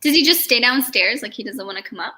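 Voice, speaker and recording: A woman's voice: a little high, animated, quick pace, bright, forceful tone. A woman co-hosting a livestream in casual, chatty talk sprinkled with "like". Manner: chatty, peppers speech with "like". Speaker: livestream co-host. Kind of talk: casual chat.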